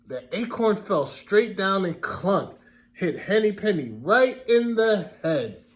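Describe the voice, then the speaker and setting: Male storybook reader: high pitch, lively intonation, expressive, explanatory classroom delivery. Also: slow. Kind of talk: children's read-aloud narration with questions to listeners.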